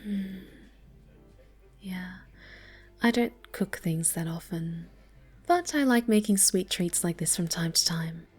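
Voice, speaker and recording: Slow, soft bratty cat girl, acted by a woman. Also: fairly low pitch, soft background music. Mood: shy, soft. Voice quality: soft, often breathy and whispered. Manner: halting, stammering, coy, haughty. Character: snobby, bratty cat girl, easily flustered yet soft. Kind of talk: intimate ASMR roleplay.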